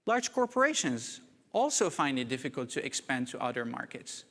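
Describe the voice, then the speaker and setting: A man from Morocco giving a prepared keynote talk at a conference, measured and deliberate. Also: mid-range voice, big pitch swings, a bit bright. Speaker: conference speaker. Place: conference hall. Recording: reverberant conference hall.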